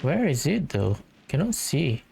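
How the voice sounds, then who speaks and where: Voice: mid-range voice, sing-song, measured pace, gravelly, dark tone, slight background noise. A gamer doing casual commentary on their own playthrough, tentatively muttering and thinking aloud. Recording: game music under the voice. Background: video game music.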